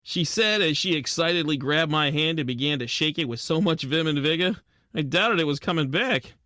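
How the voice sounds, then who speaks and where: A man playing a wisecracking, soft-hearted penguin private detective in a radio drama, narrating and talking in old-time gumshoe slang. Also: fairly high pitch, moderate pace, no room sound, studio quality. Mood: wry narrating. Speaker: lead actor and narrator.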